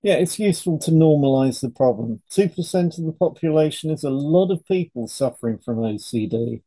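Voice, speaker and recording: Dark, mellow-voiced man reading educational script. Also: a little high, animated, fairly quick, rough recording. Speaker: podcast co-host, hypnotherapist. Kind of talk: scripted educational podcast read aloud in turns.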